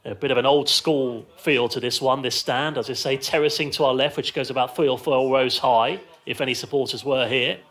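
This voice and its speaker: A man's voice: mid-range voice, a bit bright. A man giving live radio football commentary from a stand, describing play in short, clipped phrases. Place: outdoors in a football ground stand.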